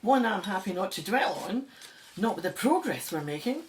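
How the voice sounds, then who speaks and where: A woman's voice: medium pitch, very animated, moderate pace, a little hiss or hum, natural voice. A woman playing an older, gracious, commanding in a radio drama. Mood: gracious, keen. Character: seasoned woman Egyptologist, gracious, commanding team leader.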